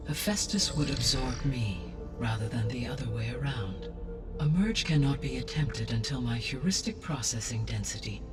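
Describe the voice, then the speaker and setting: Casting: male actor as a formal game AI, mid-pitched, precise and unemotional, slightly off-mic over clear music. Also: far from the mic, lightly acted. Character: calm, formal artificial intelligence, measured and analytical. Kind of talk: scripted video game dialogue.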